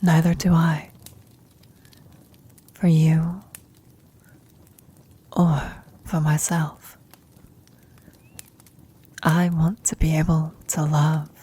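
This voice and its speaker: Woman as a very slow, whispering frail old woman. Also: sits low, flat delivery, dark tone, heavily acted. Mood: reflective, earnest. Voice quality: breathy, husky whisper. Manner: halting, tender, reflective, long pauses. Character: frail, dying old woman, wistful retired adventurer and former wife. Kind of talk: slow, intimate ASMR roleplay.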